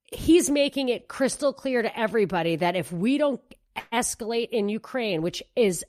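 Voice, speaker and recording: A woman co-hosting a political talk podcast, talking in an animated, rambling way and restarting her sentences. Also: medium pitch, dry recording, studio quality. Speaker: podcast co-host. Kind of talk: casual political commentary.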